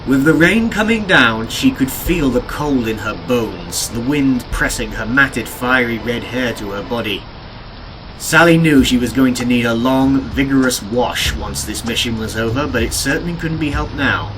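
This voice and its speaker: A man reading scripted audiobook narration of an adventure story in a deliberate, dramatic, descriptive way. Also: medium pitch, animated, background noise. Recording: music behind the narration. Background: game and cartoon soundtrack music.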